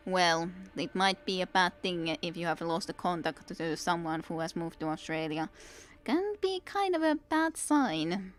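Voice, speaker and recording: Finnish woman streamer, low voice. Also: animated, a little slow. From Finland. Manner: chatty, answers chat, laughs often. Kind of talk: casual gameplay commentary.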